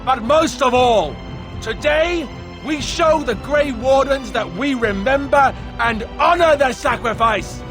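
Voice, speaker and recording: Slow, high-pitched rallying warrior king. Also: music in the background. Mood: solemn, proud. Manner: declamatory, stirring. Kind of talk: dramatic battle speech in a video game.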